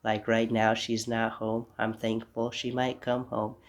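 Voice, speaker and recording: Young man with a stuffy nose, creaky voice. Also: medium pitch, completely flat delivery, measured pace. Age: young adult. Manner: rambling, reflective, apologetic asides. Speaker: podcast host. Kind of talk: casual solo podcast monologue. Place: home room.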